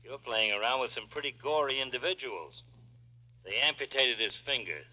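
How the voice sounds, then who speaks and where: Gruff police detective, very sharp forceful tone, clipped, muffled vintage radio recording. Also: male voice, mid-range voice, expressive, normal speed. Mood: blunt, grim. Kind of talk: 1940s radio drama acting. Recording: dull old radio recording.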